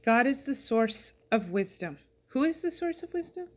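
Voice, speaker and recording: A woman with a fairly high voice reads scripture aloud. She phrases each verse plainly and clearly, as in an informal devotional reading. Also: sing-song, moderate pace, a little mellow. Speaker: scripture reader.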